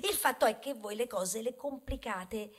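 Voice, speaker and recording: A woman's voice: on the high side, moderate pace, natural voice. A woman playing a talking grain of wheat in a theatrical monologue, wry and indignant, moving between muttered asides and protest. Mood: wry, reasoning. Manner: ironic, conversational, swinging from muttered asides to loud protest. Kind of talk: theatrical stage monologue, ballad-like storytelling.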